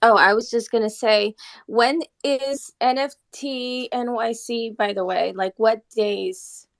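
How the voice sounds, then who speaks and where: A woman's voice: a little high, steady tone, takes it slowly. A woman chatting casually and rambling a little as a participant in a group discussion. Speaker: singer, audience participant. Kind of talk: casual chat.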